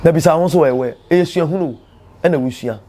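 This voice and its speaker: Male storyteller-host, Ghanaian accent, high voice, impassioned teaching delivery with emphatic repetition. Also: brisk, warm tone. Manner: emphatic, proverb-laden, repeats phrases for emphasis.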